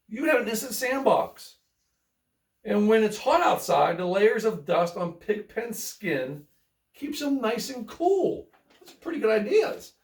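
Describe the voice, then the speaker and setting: A man reading a children's picture book aloud in a folksy, playful way, adding chatty asides and repeating himself. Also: high-pitched. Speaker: bedtime story reader. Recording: roomy, echoey, distant microphone.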